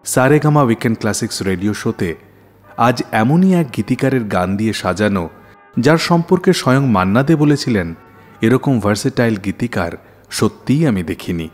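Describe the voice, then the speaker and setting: A man presents a radio show as a storyteller. His mid-pitched voice moves in animated swings, with dramatic phrasing and deliberate pauses, over soft background music. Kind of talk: scripted radio narration, storytelling. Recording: music behind the voice.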